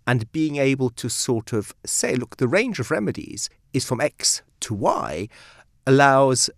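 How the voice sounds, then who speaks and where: A Belgian-born man whose first language is Dutch, speaking as a guest lawyer in a studio interview and explaining things in a measured, emphatic way. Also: medium pitch, big pitch swings, no echo. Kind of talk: articulate interview answers.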